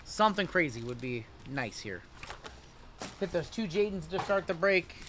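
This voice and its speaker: A man's voice: on the high side, sing-song, normal speed, fairly bright tone, a little hiss or hum. A man in his late thirties hosting a live card break, chatting casually with viewers while rattling off player names from each pack. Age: 30s.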